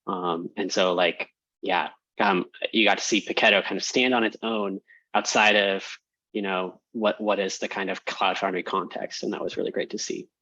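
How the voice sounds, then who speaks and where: Low-voiced man on a dull, dry call. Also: pushed and bright, dry recording. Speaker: project team representative. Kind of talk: casual work meeting talk. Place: online video call. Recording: dull, muffled call audio.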